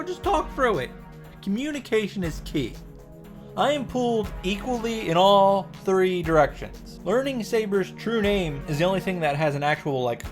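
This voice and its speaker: A man giving a narrated recap with comic first-person commentary, informal, sweary and mock-exasperated in manner. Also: high-pitched. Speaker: host of a visual novel reaction and summary show. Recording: faint music behind the voice. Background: faint background music.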